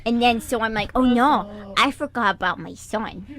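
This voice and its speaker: A woman's voice: on the high side, normal speed, crowd noise, close to an everyday voice. A woman voicing a ditzy, talkative mother who rambles and insists she is organized, in an improvised comic radio monologue. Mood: flustered, chatty. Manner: rambling, self-justifying, giggly. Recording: clear background noise, amateur radio show.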